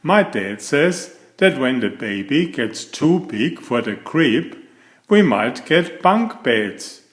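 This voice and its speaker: A man's voice: medium pitch, very animated, takes it slowly. Man doing an eager, swooping young storybook bear cub. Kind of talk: children's storybook character voice. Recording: echoey room.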